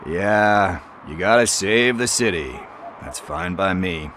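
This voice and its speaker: Shifty hustler, very deep voice, chatty wheedling slang, faint noise behind. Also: male voice, lively intonation, takes it slowly, a bit bright, heavily acted. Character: shifty street hustler, middle-aged man, chatty and evasive.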